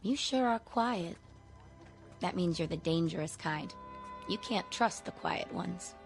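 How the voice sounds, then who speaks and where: A woman's voice: fairly low pitch, lively intonation, a little slow. Woman playing a forward, teasing flirt over music. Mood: flirty, coaxing. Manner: coaxing, teasing. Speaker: actor in a radio play. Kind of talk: radio drama acting.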